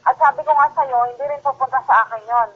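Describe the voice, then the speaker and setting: A woman with a Filipino accent and a high voice speaks quickly in a casual phone conversation. She tends to repeat and rephrase what she says. The sound is dull and muffled, as from a phone. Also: steady tone, soft background music. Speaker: phone call recipient, an aunt.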